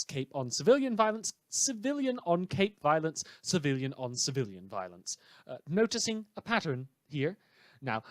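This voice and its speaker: A man's voice: mid-range voice, no echo, lightly acted. A man playing an opinionated, sardonic radio host delivering an indignant monologue in a fictional radio drama. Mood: dry, sardonic. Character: opinionated male radio host ranting about corrupt heroes.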